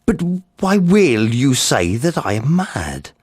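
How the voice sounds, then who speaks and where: A man performing a nervous, insane murderer confessing in a dramatic Gothic story reading, theatrical and shifting between hushed and excited delivery. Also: medium pitch, slow pace, no room sound, clean studio sound, voice pushed up, heavily acted. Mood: manic, insistent. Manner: theatrical, drops into breathy whispers, swings to excited outbursts. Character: nervous madman murderer confessing, insisting on his sanity.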